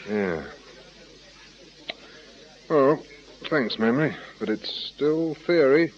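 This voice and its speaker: Inspector, shrewd, dry, probing, swooping intonation, dull noisy radio drama sound. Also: male voice, medium pitch, expressive, very slow. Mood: wry, deliberate. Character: shrewd, dry male police inspector, probing and quietly insistent. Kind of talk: radio crime drama acting.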